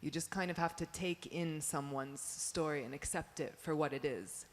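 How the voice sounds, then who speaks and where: A woman poet and performer on a panel, speaking expressively and quickly. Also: deep voice. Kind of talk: panel discussion answer. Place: museum panel stage. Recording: long hall reverb.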